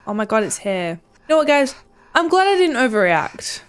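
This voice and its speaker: A woman's voice: fairly high pitch, very animated, normal speed, clean tone, soft background music. A young woman giving casual, exasperated gameplay commentary, swearing and reacting aloud. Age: young adult. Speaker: game streamer. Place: small home room.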